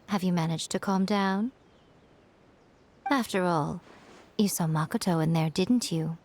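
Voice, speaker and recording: An actress playing a wise, elegant and sly adviser in a game cutscene, with a poised, deliberate delivery. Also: female voice, on the low side, darkish tone, a little hiss or hum, lightly acted. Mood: gently probing. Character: wise, sly, elegant woman adviser, teasing old friend. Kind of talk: video game cutscene acting. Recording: background music behind the voice.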